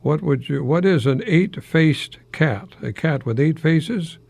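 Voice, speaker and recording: An older American man hosting a folksy solo radio talk in a halting, rambling, easily amused manner. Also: a little high, expressive, creaky, darkish tone, no echo. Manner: chuckles often at his own remarks, halting and rambling. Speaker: radio host, longtime English professor.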